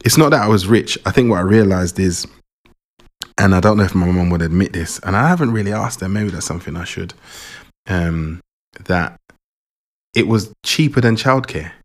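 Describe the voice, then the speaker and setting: A Jamaican-born man raised in England, hosting his own podcast in a relaxed, rambling way and often repeating words. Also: low-pitched, moderate pace, pauses often. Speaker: podcast host. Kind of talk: casual personal monologue.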